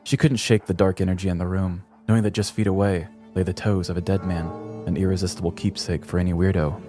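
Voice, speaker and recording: A man narrating a scripted true-crime comedy podcast in a theatrical, deliberate storytelling manner. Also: low-pitched, little pitch movement, dark tone, faint music behind.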